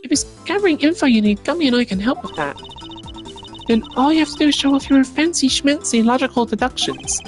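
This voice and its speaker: A woman's voice: high-pitched, expressive, fairly quick. A woman voicing a perky, cheeky young girl sidekick in a video game, chirpy, informal and encouraging. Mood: teasing. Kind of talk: hobby voice acting of a video game. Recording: music behind the voice.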